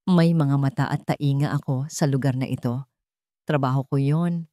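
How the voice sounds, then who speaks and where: A woman with a Filipino accent voicing a calm, serious man with hidden bitterness in an audio drama. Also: very deep voice, normal speed, no echo, clean studio sound.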